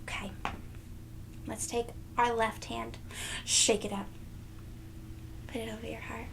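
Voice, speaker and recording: A woman reading a storybook aloud to young children, expressive and gentle, speaking directly to them. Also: a little high, measured pace, vocal fry, a little hiss or hum, low-quality recording. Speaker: children's read-aloud host.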